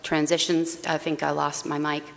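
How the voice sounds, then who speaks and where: A woman in her forties with a loud, carrying voice, reading a prepared statement at a podium microphone in a town meeting chamber. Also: low-pitched, normal speed, vocal fry. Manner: earnest, steady reading with occasional hesitant fillers. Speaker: parent group facilitator at a public meeting. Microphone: gooseneck podium microphone. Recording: echoey hall, faint background hiss.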